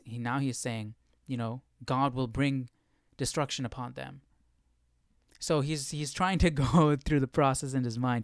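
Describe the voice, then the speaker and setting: A man's voice: medium pitch, no echo, clean studio sound. A man hosting a casual live Bible study, explaining as he thinks aloud and often posing questions. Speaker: livestream Bible study host.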